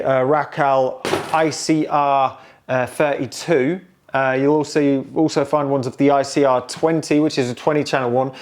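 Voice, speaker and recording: Higher-pitched man, casual and hesitant. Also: darkish tone. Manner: hesitant, thinking aloud. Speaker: presenter showing and restoring old technology. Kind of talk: casual, unscripted equipment walkthrough.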